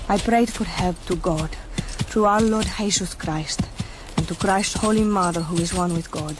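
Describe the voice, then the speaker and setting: A woman's voice: mid-range voice, background noise, close to an everyday voice. A woman acting a devout, devoted young Spanish officer's wife in a radio drama. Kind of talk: radio drama narration and dialogue. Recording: music behind the voice.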